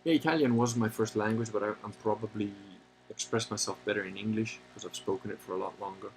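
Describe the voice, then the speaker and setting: A man hosting a livestream, talking casually and answering chat questions in a rambling, halting way with many pauses, and sometimes turning blunt and opinionated. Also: fairly low pitch, steady tone, a little hiss or hum, poor audio. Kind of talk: casual unscripted monologue.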